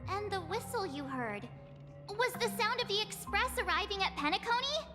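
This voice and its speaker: A bubbly teenage girl from a video game, very high in pitch. The talk is impulsive, informal and questioning, in a long reverb with clear music behind it. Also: sing-song, moderate pace. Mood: curious.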